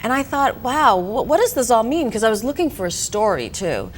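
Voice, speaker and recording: A woman's voice: a little high, very animated, fairly quick, a little hiss or hum. A woman from Hong Kong, a director and actress, answering interview questions in a chatty way with many fillers. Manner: chatty, frequent "you know" and "um". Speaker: film director and actress, guest.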